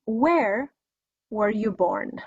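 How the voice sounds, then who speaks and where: A woman's voice: medium pitch, lively intonation, extremely slow, creaky, a little mellow, breathy edge, no echo. A middle-aged woman with a Polish accent giving an English language lesson, enunciating carefully in an instructional way. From Poland. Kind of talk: scripted video lesson with listen-and-repeat drills. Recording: dry, slightly dull lo-fi recording.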